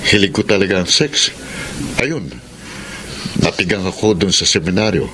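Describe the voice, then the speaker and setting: An actor with a Filipino accent playing a flirtatious, brazenly lustful former seminarian in a radio drama, sly, teasing and suggestive. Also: male voice, sits low, lively intonation, moderate pace, noisy background. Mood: sly confiding. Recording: music playing behind the voice.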